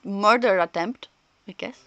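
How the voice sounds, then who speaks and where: A woman live streamer chatting casually while playing a story game, rambling and halting as she thinks out loud, with game music behind her. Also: mid-range voice, no echo, dull audio.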